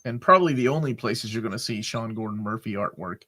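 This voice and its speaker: A man's voice: on the low side, fast. A man on a comics podcast panel, chatting casually and asking the others questions, with many fillers. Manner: chatty, lively, asks questions, many likes and ums. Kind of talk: casual chat.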